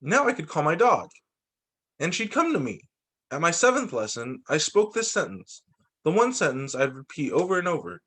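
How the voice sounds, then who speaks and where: A man reading a picture book aloud to children as a teacher, in an expressive, dramatizing way. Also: a little high, normal speed, slightly rough. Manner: expressive, dramatizing quoted lines.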